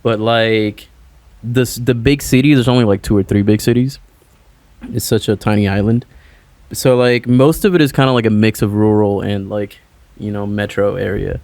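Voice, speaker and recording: A man's voice: lowish voice, steady tone, moderate pace, darkish tone, dry recording. A young man from Puerto Rico, a musician guest chatting loosely in an interview with lots of "like" fillers. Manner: loose, rambling, heavy on "like" fillers. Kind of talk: casual interview chat.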